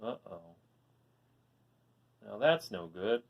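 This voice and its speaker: A woman reading a picture book aloud for children, animated and often stopping to ask the listener questions. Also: extremely low pitch, slow, creaky. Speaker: storybook reader for children.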